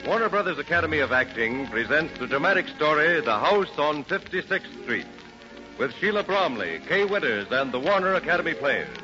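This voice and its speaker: A man's voice: fairly high pitch, very animated, fairly quick. A man giving a formal scripted introduction as a 1930s radio program announcer. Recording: dull 1930s radio recording. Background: music.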